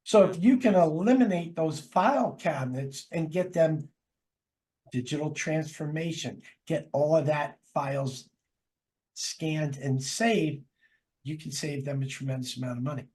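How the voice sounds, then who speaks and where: A man's voice: fairly high pitch, measured pace, a little mellow. A man from New Jersey with a New York accent, co-hosting a casual chat and telling a rambling story with hesitant fillers. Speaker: podcast co-host.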